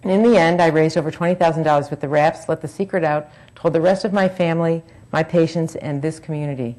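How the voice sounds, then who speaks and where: A woman's voice: sits low, fairly even intonation, fast talker, darkish tone. A woman in her forties reading a frank, earnest personal talk at a public forum, with wry asides.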